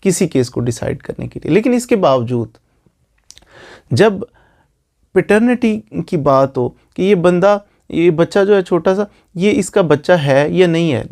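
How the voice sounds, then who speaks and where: Higher-pitched Pakistani man explaining, gravelly edge. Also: a little mellow. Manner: explanatory, repeats phrases for emphasis. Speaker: lawyer explaining law online. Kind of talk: informal spoken legal explainer.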